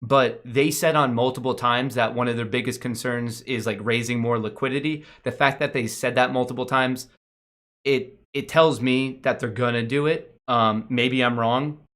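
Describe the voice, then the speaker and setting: Male finance show host, mid-pitched voice, unscripted casual commentary, emphatic on key points. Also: fairly even intonation, normal speed, a bit bright. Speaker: host of a stock market show.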